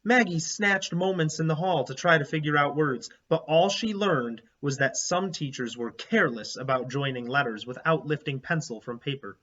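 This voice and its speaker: A man reads a children's story aloud as a teacher would, in a slightly high, husky voice. His phrasing is expressive and emphatic for young listeners, and he pauses to add explanations. The recording sounds a little dull. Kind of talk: animated read-aloud with explanatory asides.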